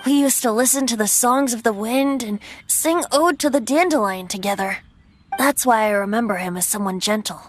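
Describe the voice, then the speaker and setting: A woman voicing a youthful male wandering bard in an anime-style game, gentle, teasing and theatrical. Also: fairly high pitch, moderate pace, slight background noise, close to an everyday voice. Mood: playful.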